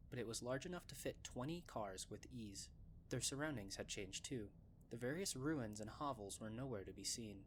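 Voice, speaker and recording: A man's voice: medium pitch, slight background noise. A man narrating a scripted story in an audiobook style.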